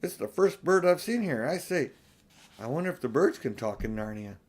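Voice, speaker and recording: A man's voice: fairly high pitch, lively intonation, moderate pace, a little mellow. A man voicing an earnest, kindhearted, insistent little girl in a storybook. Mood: curious, hopeful. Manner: insistent, pleading.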